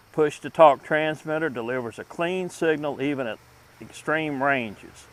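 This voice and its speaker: Man presenting an informal, halting product review. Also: on the high side, low-quality recording. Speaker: host of a vintage radio review channel. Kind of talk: informal product review, talking through a demonstration.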